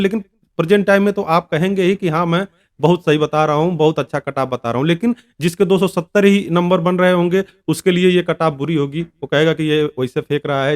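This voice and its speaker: A man with a slightly high voice speaks directly to his viewers as an advice-giving host. His delivery is informal and explanatory, and he often circles back to restate a point in other words. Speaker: YouTube exam-advice host.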